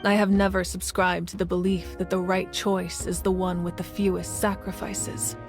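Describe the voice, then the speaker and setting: An actress playing a calm, self-sacrificing female ruler in a game, speaking in a steady, commanding way. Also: fairly low pitch, normal speed, faint music behind, natural voice. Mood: resolute. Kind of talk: game voice acting.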